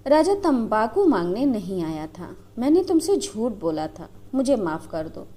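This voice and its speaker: A woman's voice: fairly high pitch, very animated, unhurried, warm tone. A woman voicing a tearful, devoted young village wife who pleads and confesses in a storybook reading. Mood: pleading confession. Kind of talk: dramatised Hindi storybook reading.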